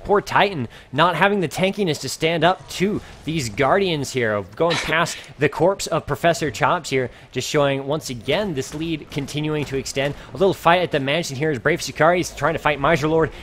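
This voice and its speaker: A man casting a live video game match, energetic and climbing in pitch and volume as the fights heat up. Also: normal speed, hardly any pauses, a little hiss or hum. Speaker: esports caster. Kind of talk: play-by-play game commentary.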